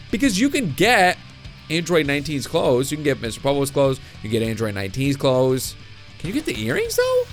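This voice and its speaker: A man's voice: a little high, a bit bright. A young man hosting a gameplay video, musing uncertainly and chuckling often. He is getting over a cold, so his voice sounds a bit off. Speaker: gaming video host. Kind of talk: casual gameplay commentary. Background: game music.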